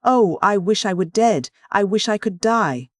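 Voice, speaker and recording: Scared tearful young woman, high and pleading, a guy voicing her, dry sound. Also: expressive, normal speed, a little mellow, no echo. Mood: despairing. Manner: pleading, breathless, high and strained.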